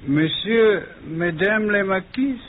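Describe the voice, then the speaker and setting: A man's voice: high-pitched, takes it slowly. A man playing a deferential, courteous household servant, formal and obsequious, in 1940s radio drama style. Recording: tinny, noisy old radio recording.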